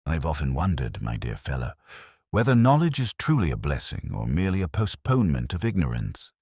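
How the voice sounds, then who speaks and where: A very low-pitched, weary detective, as a male narrator plays him, speaks with measured eloquence. The delivery is philosophical and gently wry, with lively swings of pitch in a dry, close storybook recording. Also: breathy edge, no echo, heavily acted. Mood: weary, reflective. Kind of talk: audiobook character dialogue.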